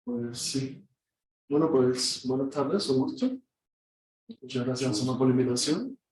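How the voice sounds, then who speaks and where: A man with a Cuban accent giving a relaxed, conversational guest talk to a class, often saying "o sea". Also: medium pitch, measured pace. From Cuba. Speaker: guest lecturer, anthropologist. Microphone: far room mic. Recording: echoey classroom from far off.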